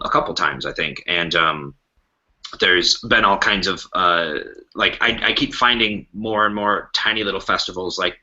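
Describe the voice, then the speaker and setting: Man, deep pitch, very forceful bright tone, casual explanatory chat, slightly muffled recording. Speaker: podcast guest, improv festival organiser.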